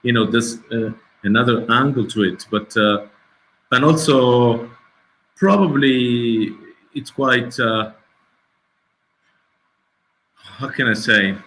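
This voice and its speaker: A middle-aged man, a documentary filmmaker answering festival questions over a video call, pausing often and searching for his English words. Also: medium pitch, a bit bright, a little hiss or hum, poor audio. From Italy. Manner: hesitant, searching for words, many restarts.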